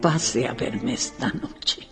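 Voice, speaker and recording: An actress playing a frail, tired, courteous old widow in a radio drama, with a formal, measured manner. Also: female voice, extremely low pitch, fairly bright tone, soft background music, heavily acted. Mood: formal, aloof.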